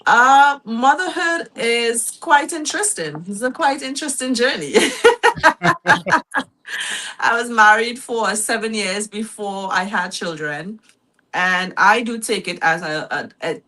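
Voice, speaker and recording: A woman's voice: fairly high pitch, lively intonation, a little slow, bright, forceful tone. A middle-aged woman with a Trinidadian accent, chatting casually as a guest on a talk show. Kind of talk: casual self-introduction and chat. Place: remote call on a phone.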